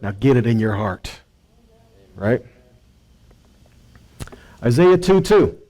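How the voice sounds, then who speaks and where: A man's voice: fairly high pitch, a little mellow, low-quality recording. A man giving an informal Bible teaching, reading scripture and putting rhetorical questions to his listeners.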